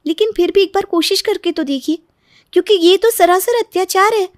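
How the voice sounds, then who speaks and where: A woman's voice: extremely high pitch, fairly quick, no echo, clean studio sound, pitched above the performer's own voice. A woman voicing a kind, respectful but bold young sister-in-law in a story, concerned and indignant. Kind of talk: Hindi moral story voice acting.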